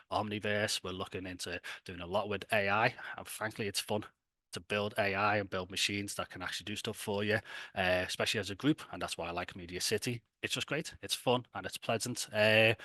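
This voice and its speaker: Bright-voiced Scouse man presenting casually. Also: lowish voice, no room sound. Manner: chatty, enthusiastic, colloquial. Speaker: event host and presenter. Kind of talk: casual, informal event presentation.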